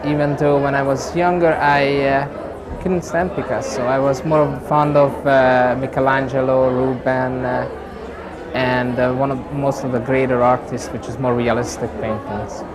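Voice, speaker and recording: A man's voice: medium pitch, flat delivery, normal speed. A man from Hungary with a Hungarian accent, a painter explaining his work hesitantly, with many fillers as he searches for words. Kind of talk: interview about his paintings. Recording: loud crowd at an event.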